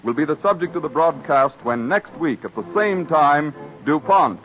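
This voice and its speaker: A man's voice: a little high, lively intonation, moderate pace, a bit bright, faint music behind. Formal 1930s radio announcer man, dull recording. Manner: formal, polished salesmanship.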